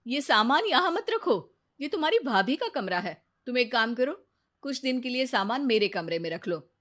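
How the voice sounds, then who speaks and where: A woman voicing a caring, traditional mother who gently admonishes, in a cartoon moral story. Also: fairly high pitch, sing-song, normal speed, pitched above the performer's own voice. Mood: instructing. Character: caring but traditional mother of a married daughter.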